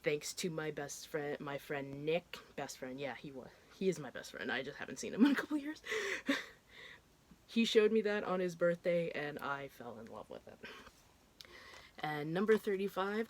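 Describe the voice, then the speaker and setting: A woman's voice: low voice, a little hiss or hum. A woman in her thirties, stuffed up and congested, chatting casually and rambling a bit as she talks through what she has watched, with the odd casual swear. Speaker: horror film vlogger. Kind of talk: casual chat.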